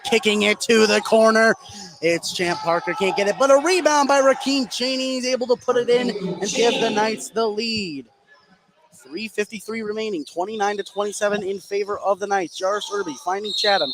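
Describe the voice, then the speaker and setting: A man's voice: sits high, background noise. A man giving live play-by-play commentary on a basketball game for radio.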